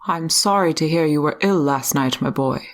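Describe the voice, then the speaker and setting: A woman's voice: low voice, moderate pace, natural voice. A woman playing an irritable, stern male doctor in an audio drama. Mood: formal.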